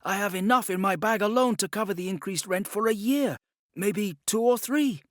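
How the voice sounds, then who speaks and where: A man voicing an eager, teasing young male thief in an audiobook, with a hushed, urgent manner. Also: high-pitched, normal speed, no room sound, studio quality, voice pushed up, lightly acted. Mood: excited. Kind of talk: audiobook character dialogue.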